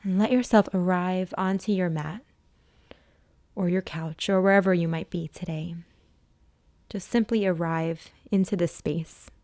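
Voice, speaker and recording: A woman guides a body scan meditation in a lowish, very mellow voice. She speaks in a hushed, soothing manner and leaves long pauses between gentle instructions. The sound is dry, with no room echo. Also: steady tone. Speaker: yoga and meditation teacher.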